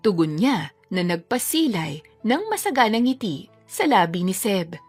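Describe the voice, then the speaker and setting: A woman's voice: mid-range voice, no echo. A woman reading a scripted romance story as a dramatic narrator.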